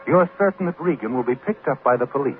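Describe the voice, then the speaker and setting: An actor playing a sinister, insinuating narrator of a 1940s radio thriller, on a thin old recording. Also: male voice, medium pitch, expressive, quick pace, narrow, tinny audio, soft background music, natural voice. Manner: insinuating, sardonic, second-person address. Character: sinister, knowing omniscient male narrator.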